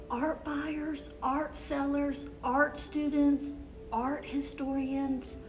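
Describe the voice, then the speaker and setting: A woman reading a picture book aloud to children as a storyteller, expressive and exclamatory, with dramatic emphasis on key words. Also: high voice, recorded from a distance. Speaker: children's storyteller and event host. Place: University museum gallery.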